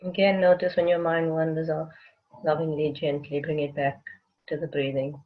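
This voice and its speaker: A meditation guide leading a guided meditation in a soothing, unhurried way, with long pauses between phrases. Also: mid-range voice, little pitch movement, phone-quality sound.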